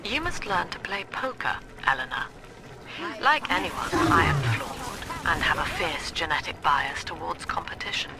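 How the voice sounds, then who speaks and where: An actress playing a cold, composed cult leader and psychiatrist villain in a video game, speaking in grave, precisely articulated, preaching monologues. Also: female voice, sits low, expressive, moderate pace, creaky, over loud music, rough recording. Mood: measured reflection.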